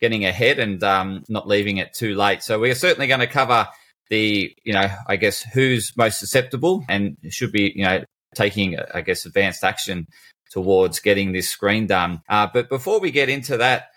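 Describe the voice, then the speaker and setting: A man's voice: on the low side, normal speed, bright, forceful tone. A man hosting a podcast, introducing and questioning a guest in a wordy, meandering way. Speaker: podcast host.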